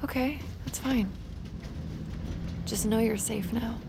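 A woman's voice: fairly high pitch, slow pace, creaky, background noise. An actress playing a protective young woman rescuer in an audio drama, speaking directly and firmly in a natural, reassuring way. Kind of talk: naturalistic audio fiction acting. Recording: music behind the voice.

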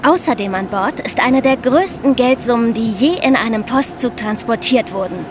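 A woman's voice: fairly high pitch, animated, moderate pace, noisy background, close to an everyday voice. A young woman playing a sensible podcast co-host, explaining crime stories directly to young listeners in a scripted show. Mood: engaging, explanatory. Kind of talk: lively scripted true-crime podcast for children.

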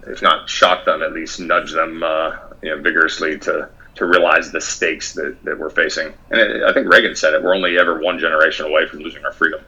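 A man being interviewed about his past in a measured way, with frequent fillers and restarts. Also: rumbling low, steady tone, bright, forceful tone, husky, lo-fi sound. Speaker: guest, former soldier.

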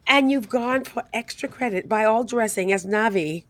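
An actress playing a composed, courteous producer in an audio comedy, polite and dry. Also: female voice, on the high side, moderate pace, a bit bright, no room sound, lightly acted. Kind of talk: audio comedy drama acting.

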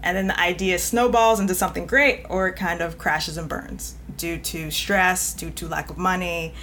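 A woman's voice: fairly low pitch, normal speed, a little hiss or hum. A woman editor on a podcast, talking conversationally and reading a passage aloud. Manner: conversational, laughs often, expressive. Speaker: editor and guest.

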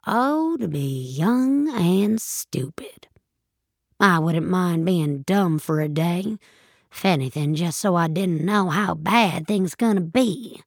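A woman's voice: on the low side, lively intonation, slow pace, dry recording, studio quality, full character voice. A woman voicing a blunt, gloomy old country grandmother in an audio story. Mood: bitter, resigned. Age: older. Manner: folksy, blunt, fatalistic musing.

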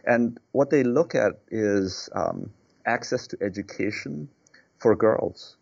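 Deep, husky man on a dull line. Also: takes it slowly, darkish tone. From Nepal. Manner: earnest, repeats words and fills with "uh". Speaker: guest, healthcare executive. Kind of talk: podcast interview answers.

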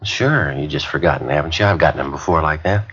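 An actor playing a terse, matter-of-fact police detective sergeant in a 1950s radio drama, with clipped delivery. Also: male voice, very deep voice, quick pace, a bit bright, slight background noise. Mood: easygoing. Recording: muffled 1950s radio broadcast.

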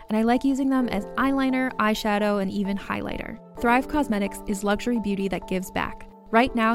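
A woman with a medium-pitched voice reads a podcast advertisement. Her delivery is conversational, like a personal endorsement, and music plays clearly behind her. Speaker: ad reader.

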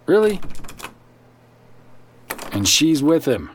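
A man's voice: fairly high pitch, very animated, drawn-out words, slight background noise, full character voice. An actor playing a guarded, sardonic man in a sci-fi audio drama, speaking tersely and dryly. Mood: wary, irritated. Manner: terse, dry. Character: guarded, sardonic estranged son living with guns.

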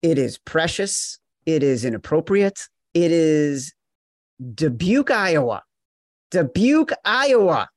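A man with a fairly high voice hosts a sports betting podcast. He talks with emphatic, mock-exasperated teasing, in a close, dry recording. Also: very animated, slow pace, dry recording. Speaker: podcast host.

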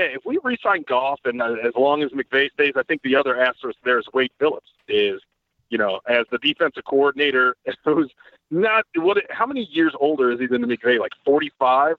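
Man, casual podcast guest, analytical and quick, thin phone-like sound, dry. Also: medium pitch, dry recording. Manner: fast-talking, analytical, swears casually. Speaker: podcast guest, team site writer. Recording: tinny dry phone-line audio.

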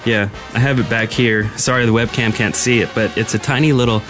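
A man co-hosting a gaming podcast in casual chat, rambling and halting, easily amused. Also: medium pitch, fairly even intonation, over music. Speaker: podcast co-host.

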